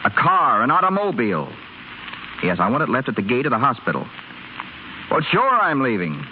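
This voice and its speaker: A man's voice: medium pitch, wildly swooping pitch, moderate pace. An actor playing a spoiled, restless young rich man in a hospital, sardonic and wisecracking, in 1930s radio drama style. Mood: flippant. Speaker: hospital patient. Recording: tinny, faintly hissy 1930s radio broadcast.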